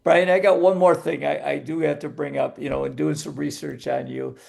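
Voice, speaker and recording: Gravelly, mellow male co-host. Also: on the high side, little pitch movement, brisk. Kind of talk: casual interview talk.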